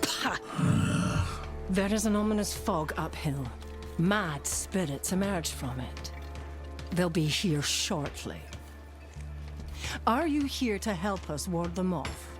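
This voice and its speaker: An actress playing a hardened village warrior woman in a fantasy game, blunt, wry and unhurried. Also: fairly low pitch, animated, over music. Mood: scornful, urgent. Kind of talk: dramatic fantasy game acting.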